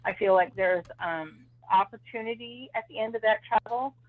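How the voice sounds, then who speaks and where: A woman's voice: fairly high pitch, moderate pace. A woman giving a psychic reading on a live call-in show, hedging with "I feel like" over and over. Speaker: psychic reader. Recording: tinny phone line.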